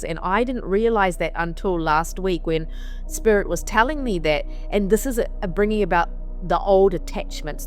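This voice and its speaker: A woman hosting a weekly spiritual mantra talk in a casual, meandering way. She sounds slightly nasal and sniffly from allergies and repeats phrases for emphasis. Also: fairly low pitch. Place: on the road while traveling.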